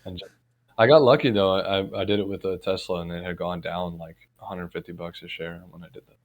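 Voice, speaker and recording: A man's voice: low voice, steady tone, quick pace. A man co-hosting a podcast, teasing his partner bluntly with lots of swearing. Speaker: podcast co-host. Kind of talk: casual podcast banter.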